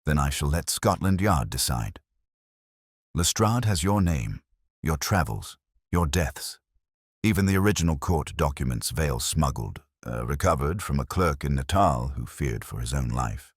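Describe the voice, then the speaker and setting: A man voicing a coolly logical, assured detective in an audiobook, speaking in measured, precise, formal phrases. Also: very deep voice, expressive, slightly rough, dry recording, clean studio sound, heavily acted. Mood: cool, confronting.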